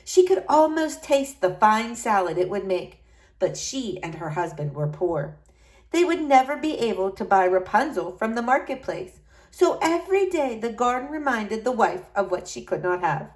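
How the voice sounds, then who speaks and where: A woman narrates a storybook tale expressively. Her voice sits a little high and moves with plenty of melodic variety as she tells the story. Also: big pitch swings, normal speed, darkish tone, rough recording. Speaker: storyteller reading aloud.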